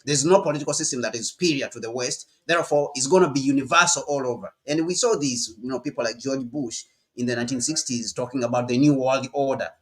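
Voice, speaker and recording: A man's voice: medium pitch, quick pace, hardly any pauses. A man from Uganda giving emphatic political commentary, often repeating himself and checking in with "all right?".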